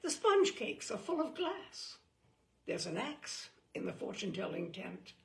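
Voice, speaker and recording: A woman reading a humorous book aloud in a theatrical, deadpan way, with dramatic emphasis and pauses. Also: mid-range voice, gravelly. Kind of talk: theatrical read-aloud of dry comic prose.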